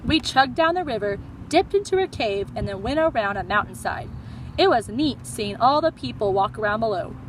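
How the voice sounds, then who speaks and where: A woman with a high, very lively voice reads a story to children like a teacher. Her delivery is animated, and faint outdoor traffic noise sits behind her. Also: moderate pace, rough recording. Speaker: teacher reading aloud to children.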